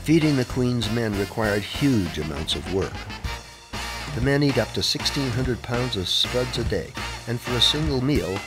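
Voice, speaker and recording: A man's voice: mid-range voice, animated, a little slow. A man reading scripted historical narration as a documentary narrator. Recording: music bed under the voice. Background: music.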